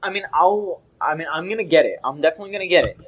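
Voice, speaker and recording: A man's voice: on the high side, lively intonation, racing, husky, phone-quality sound. A young man co-hosting a casual gaming podcast, rambling and often restarting his sentences. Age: young adult. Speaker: podcast co-host.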